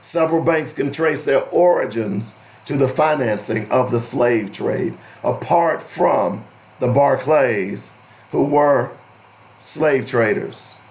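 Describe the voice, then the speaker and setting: A man hosting an opinionated radio talk show, deliberate and emphatic, with a strained, cracking voice that is giving out. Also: mid-range voice, darkish tone. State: losing his voice. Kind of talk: opinionated radio talk, partly reading aloud.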